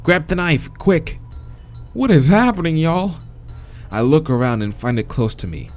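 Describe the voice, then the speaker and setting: American guy doing a slow dramatic read of a visual novel, slangy reactions thrown in, music going behind him. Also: a little high. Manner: expressive, slangy asides and exclamations. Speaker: let's-play host reading a visual novel.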